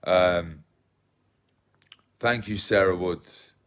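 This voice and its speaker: A man in his thirties from England, hosting a casual livestream from his phone at home, soft-spoken and rambling, often repeating himself as he reads comments. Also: low-pitched, very slow. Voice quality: relaxed voice. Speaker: livestream host. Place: small living room at home, indoors. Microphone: phone held at arm's length.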